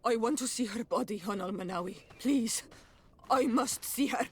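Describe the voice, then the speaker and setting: A woman's voice: fairly high pitch, moderate pace, a little hiss or hum, lightly acted. A woman playing a proud, earnest young native princess in a video game cutscene. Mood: pleading grief.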